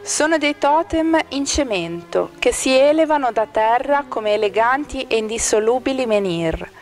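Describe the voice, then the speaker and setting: A woman reading a scripted art-exhibition commentary in a measured, formal manner. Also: a little high, expressive, darkish tone, faint music behind. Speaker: exhibition narrator. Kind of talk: scripted art-critic narration.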